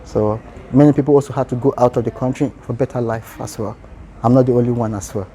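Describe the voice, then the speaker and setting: A man's voice: mid-range voice, steady tone, quick pace, dark tone, slight background noise. A man with a Nigerian accent answering interview questions earnestly, in short phrases that restart.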